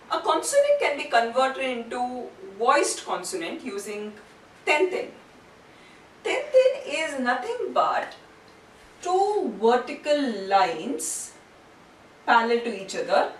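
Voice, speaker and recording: A woman teaching a language lesson step by step, speaking deliberately and repeating syllables and terms for learners. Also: high-pitched. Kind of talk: instructional lesson, step-by-step explanation. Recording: roomy, distant mic, faint background noise.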